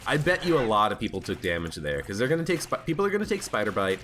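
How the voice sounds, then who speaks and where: A man with a stuffed-up, hoarse voice, chatting casually as he plays a video game. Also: on the low side, sing-song, quick pace, a bit bright, slight background noise. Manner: chatty, thinking aloud while playing. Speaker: gaming channel host. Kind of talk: casual let's play commentary. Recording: background game music.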